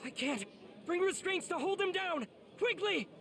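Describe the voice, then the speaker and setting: Very high teen boy hero, anguished n earnest, game cutscene, echoey w music. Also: animated, measured pace, very noisy, full character voice. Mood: pleading. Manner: emotional, earnest. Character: earnest, anguished teenage boy demon slayer. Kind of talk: English video game voice acting.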